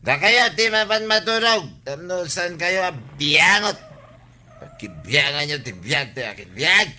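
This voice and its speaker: Slow, sharp-voiced Ilocano drunk. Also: male voice, on the high side, very animated, exaggerated voice. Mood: drunken defiance. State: drunk, slurring. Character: stubborn drunken married village man, bristling at advice. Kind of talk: comedic radio drama acting.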